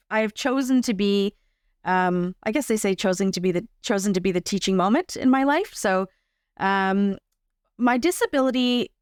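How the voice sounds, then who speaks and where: A woman's voice: mid-range voice, clear, resonant tone, no room sound, clean studio sound. A woman giving a candid, wry, conversational personal account as a guest. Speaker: podcast guest.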